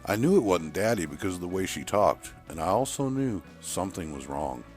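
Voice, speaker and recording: A man's voice: sits low, sing-song, brisk, soft background music. A man voicing a wistful woman who earnestly recalls her trucker father in an audio story. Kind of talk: acted dialogue in an audio story.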